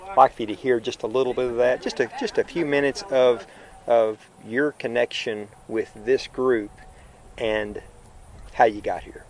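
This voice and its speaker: A man interviewing people on site, relaxed and hesitant with many fillers. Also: medium pitch, moderate pace.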